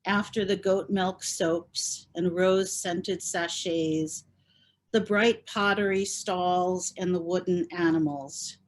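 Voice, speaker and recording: Woman, lowish register, very unhurried and measured, poetry-tribute delivery over video call. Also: fairly even intonation. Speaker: poet reading at a tribute event. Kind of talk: heartfelt tribute and poetry reading.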